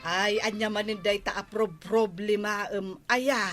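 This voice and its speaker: A woman's voice: medium pitch, fairly even intonation, a little slow, fairly bright tone, faint music behind, close to an everyday voice. A female actor with an Ilocano accent playing a nosy, nagging village woman full of questions in a radio comedy drama. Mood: exasperated, suggesting. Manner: questioning, exclamatory.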